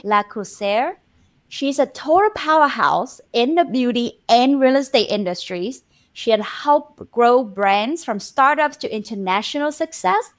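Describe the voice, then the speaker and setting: A woman from Vietnam hosting a podcast interview, introducing her guest with upbeat energy and asking questions. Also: fairly high pitch. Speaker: podcast host.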